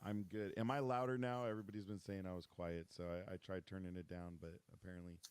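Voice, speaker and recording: Male co-host, low clear voice, dry close sound, loud and joking delivery. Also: little pitch movement, fast talker, no room sound. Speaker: podcast co-host. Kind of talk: casual chat.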